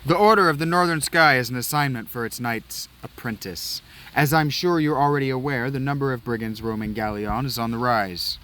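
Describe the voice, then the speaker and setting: A man playing a booming knight commander. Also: fairly quick, sharp tone, natural voice, low-quality recording. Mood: commanding. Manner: booming, formal and declamatory. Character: stern male knight commander addressing apprentices.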